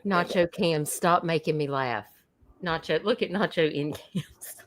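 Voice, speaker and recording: A woman's voice: deep voice, measured pace, no room sound. A woman in casual reaction chat, exclaiming in a mock-scandalized way as a panelist.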